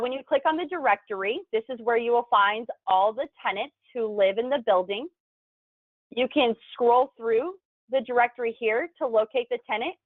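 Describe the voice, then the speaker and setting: A woman with a slightly high voice presents a tutorial, walking through instructions one step at a time. The recording is dry and narrow, with a thin, telephone-like sound. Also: dry recording. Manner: clear step-by-step explaining. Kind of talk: instructional video narration. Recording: thin phone-like band-limited sound, dry.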